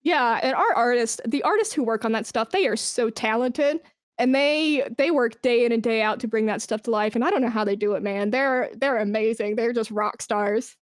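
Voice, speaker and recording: A woman's voice: fairly high pitch, normal speed, no room sound, studio quality. A woman chatting casually as an interview guest, enthusiastic and informal in the way she talks. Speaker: interview guest, esports brand manager.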